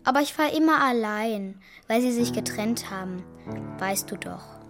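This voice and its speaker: A female performer plays a young girl in a children's radio drama, earnest and insistent. Her voice sits fairly high. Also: expressive, normal speed, music in the background, lightly acted. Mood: earnest, wistful.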